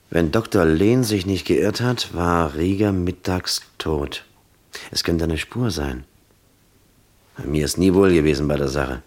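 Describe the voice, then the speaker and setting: Tiefer, hartnäckiger Polizeileutnant. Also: male voice, deep voice. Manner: matter-of-fact, insistent. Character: dogged, sceptical male police lieutenant. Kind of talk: German radio crime drama acting.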